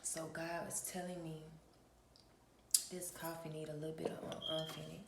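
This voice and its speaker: A woman hosting her own channel, talking in a relaxed early-morning vlog with a raspy voice and a preachy manner, often clearing her throat. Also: deep voice, moderate pace, reverberant, heavy background noise. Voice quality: gravelly. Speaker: spiritual reader and channel host.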